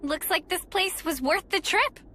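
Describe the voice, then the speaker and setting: An actress playing a feisty young woman soldier in a video game, blunt and animated. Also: very high voice, a little slow, slight background noise, exaggerated voice.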